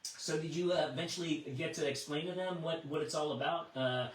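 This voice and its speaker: A man acting as net control on a ham radio net, friendly and affirming, speaking casually with halting, repeated words. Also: on the high side. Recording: distant, echoey amateur radio transmission.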